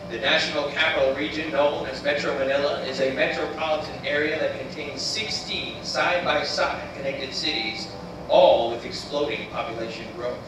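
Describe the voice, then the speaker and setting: Earnest missionary man, echoing from afar. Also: a little high. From United States. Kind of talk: narrated missionary presentation. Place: church sanctuary, voice played on screen video. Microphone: recorded from room speakers by far camera. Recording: roomy, distant, noisy church playback.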